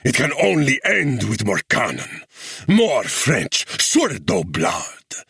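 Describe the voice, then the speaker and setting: A man's voice: lowish voice, very animated, a little slow, slightly rough, no echo, studio quality, voice pushed up, exaggerated voice. A man voicing a savage guerrilla leader who growls and thunders, dropping Spanish words into his speech. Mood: raging. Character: savage middle-aged male guerrilla leader, menacing.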